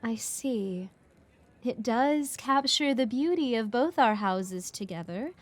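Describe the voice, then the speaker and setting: A woman's voice: fairly high pitch, expressive, takes it slowly, no room sound. A woman playing a scheming noblewoman in a fantasy romance audio drama, formal and pointedly polite. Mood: slighted, insistent. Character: haughty, scheming noblewoman duchess, politely slighted.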